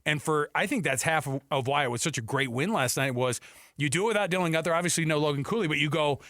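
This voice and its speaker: Bright, higher-pitched male sports host. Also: fast talker, dry recording, clean studio sound. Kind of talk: casual sports talk.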